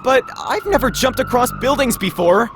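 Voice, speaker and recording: A man's voice: very high-pitched, animated, moderate pace, music in the background, pitched above the performer's own voice. A man voicing an anxious, hesitant young man on the run in a visual novel, protesting nervously. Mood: alarmed, protesting. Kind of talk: dramatic visual novel character reading. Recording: sirens and street noise behind voice. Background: sirens, cars.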